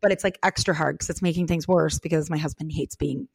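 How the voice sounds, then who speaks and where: A woman's voice: sits low, fast, creaky, husky, dry recording, clean studio sound. A middle-aged woman co-hosting a podcast, talking candidly and casually, acting out bits of remembered conversation as she goes. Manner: candid, animated, quotes imagined dialogue. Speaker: podcast co-host.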